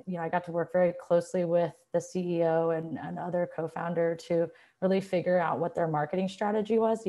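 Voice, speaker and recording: A woman's voice: on the low side, darkish tone. Chatty woman on a video call. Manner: chatty, lots of "like" and "you know". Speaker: panelist. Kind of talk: casual career talk on a panel. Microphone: earphone headset mic on a video call.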